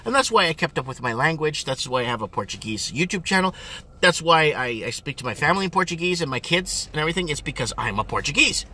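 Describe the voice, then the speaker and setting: A middle-aged man, rambling casually to viewers in an animated way and repeating his questions emphatically. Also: fairly high pitch, fast. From Ontario, Canada. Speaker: vlogger. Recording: engine and road noise in car.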